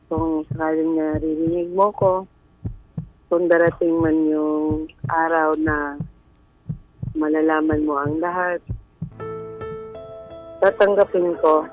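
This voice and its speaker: A woman's voice: low-pitched, narrow, tinny audio. A woman calling in to a radio advice show, confiding about her personal life in a hesitant, casual way.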